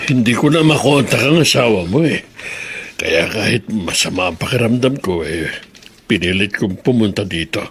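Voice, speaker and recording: A man's voice: on the low side, expressive, normal speed, faint music behind. An actor playing an older, ailing but dutiful grandfather in a Filipino radio drama. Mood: weary, unwell.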